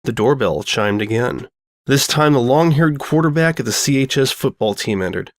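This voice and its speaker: A man's voice: medium pitch, lively intonation, moderate pace, no echo, studio quality. A man reading a scripted comic story as narrator, telling it expressively. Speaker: fan fiction narrator.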